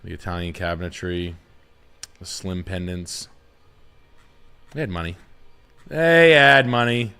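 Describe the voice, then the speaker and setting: A man's voice: sits low, a little slow, long pauses, sharp tone, clear, resonant tone. A young adult man hosting a livestream, rambling in a crude, laid-back way. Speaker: host. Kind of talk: casual livestream chat.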